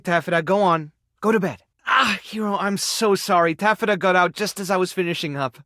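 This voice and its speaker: An actor playing an earnest, caring male animal rescuer in a video game, gentle, hesitant and easily flustered. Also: high voice, dry recording, clean studio sound. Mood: soothing, apologetic. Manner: hesitant, soft-hearted, coaxing. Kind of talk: expressive dramatic game voice acting.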